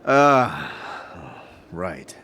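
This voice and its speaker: Low slow-talking weary wanderer guy man acting, dry and laconic, audio drama. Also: big pitch swings, pushed and bright, a little hiss or hum, exaggerated voice. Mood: dry, resolved. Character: weary, dry-humoured male wanderer, curious and polite.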